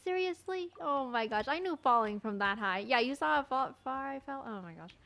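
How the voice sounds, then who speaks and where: A woman's voice: high voice, animated, moderate pace, vocal fry, fairly bright tone. A woman gamer giving casual, informal reaction commentary while she plays. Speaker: gaming content creator.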